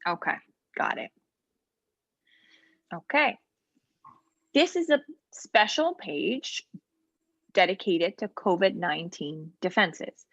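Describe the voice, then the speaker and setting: A woman trainer patiently walking a practice client through online software during a role-play interview. She explains each step and often pauses with ums and short asides. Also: fairly low pitch, lively intonation, no echo, highs cut off. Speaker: legal aid trainer. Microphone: video call.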